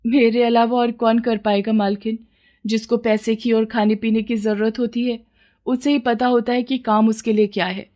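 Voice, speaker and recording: A woman's voice: on the high side, little pitch movement, normal speed, mellow, natural voice. A woman voicing a poor, humble village mother, earnest and deferential, in a dramatized moral story. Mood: eager, humble.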